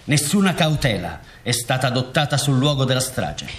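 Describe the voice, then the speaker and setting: A man's voice: medium pitch, big pitch swings, moderate pace, natural voice. A man playing a dead guard commander in a radio drama, delivering formal statements in an official manner. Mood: sober, formal. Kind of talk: scripted radio drama monologue.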